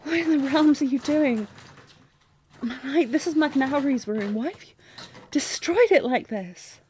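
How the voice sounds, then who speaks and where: Slow-spoken, apologetic fantasy princess played by a woman. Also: sits high, lively intonation, darkish tone, a little hiss or hum. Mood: alarmed. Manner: formal archaic diction, hesitant, breathy sighs. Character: apologetic, anxious young princess, tender and formal. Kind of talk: scripted romantic fantasy audio roleplay, intimate.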